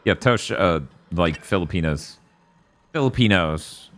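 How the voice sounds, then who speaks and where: A man's voice: sits low, lively intonation, a little slow, fairly bright tone. A man from the United States hosting a game stream, chatting casually and joking, with frequent laughs and swearing. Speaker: streamer host. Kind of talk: casual chat over gameplay.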